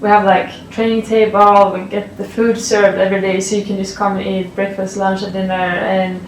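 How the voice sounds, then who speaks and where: A woman's voice: mid-range voice, normal speed, distant mic, a little hiss or hum. Young Swedish-accented woman athlete, casual interview, mic set back in a roomy space with faint ambience. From Sweden. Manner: relaxed, many fillers and "like". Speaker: college athlete.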